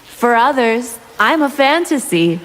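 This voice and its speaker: A woman's voice: on the high side, very animated, measured pace, loud music behind the voice, poor audio. A woman playing a haughty, hungry vampire from another realm, cold and seductive in a video game. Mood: taunting, seductive. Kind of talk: video game fight intro banter. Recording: game audio with background noise.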